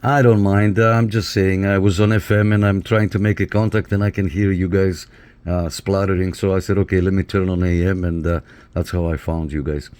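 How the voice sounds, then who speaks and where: Man, very low voice, casual hands-on reviewer, repeated short phrases, background noise. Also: little pitch movement, fairly quick, darkish tone. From Canada. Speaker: CB radio reviewer and operator.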